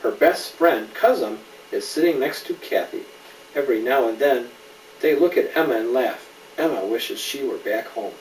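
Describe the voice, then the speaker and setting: A man's voice: medium pitch, expressive, normal speed. Man reading a picture book, roomy distant recording. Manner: explains patiently, asks the child questions. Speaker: father reading a story to a child. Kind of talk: read-aloud picture book storytelling with casual asides. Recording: roomy, distant mic, faint background noise.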